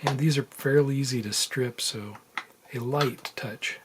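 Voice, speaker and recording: Male hobbyist, casual bench tutorial, halting and low-key, mid-pitched, some background noise. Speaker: electronics hobbyist demonstrating a repair. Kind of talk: casual unscripted how-to narration while working. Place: indoors at a workbench. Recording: noisy room with handling clicks.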